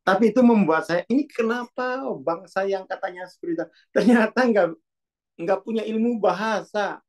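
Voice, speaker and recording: A man's voice: high-pitched, normal speed, darkish tone. A man, a Muna speaker, talking in a casual, reflective monologue that is loose and full of asides. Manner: musing, self-correcting, loose with many asides. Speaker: speaker on consciousness and self-development.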